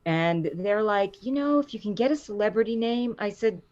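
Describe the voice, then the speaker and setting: A middle-aged woman with a low voice, a voice actor chatting in an interview and acting out the quotes in her stories. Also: normal speed, dull audio. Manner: animated storyteller, acts out quotes with rising pitch. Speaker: voice actor guest. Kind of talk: casual interview chat.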